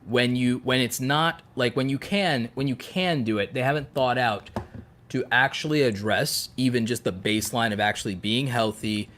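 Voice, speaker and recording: A man's voice: medium pitch, moderate pace, fairly bright tone, a little hiss or hum. A man co-hosting a casual podcast, talking emphatically and with strong opinions, repeating phrases to drive points home. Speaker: podcast co-host.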